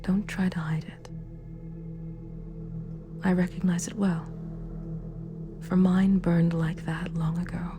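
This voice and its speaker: Villain ruler, fallen hero female actor: very even, unhurried half-whisper, regretful and dignified, ASMR roleplay over dark music. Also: lowish voice. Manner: hushed, breathy, measured, formal. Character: remorseful, sympathetic villain ruler, once a hero. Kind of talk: scripted ASMR roleplay monologue. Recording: clear background music. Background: dark, spooky background music.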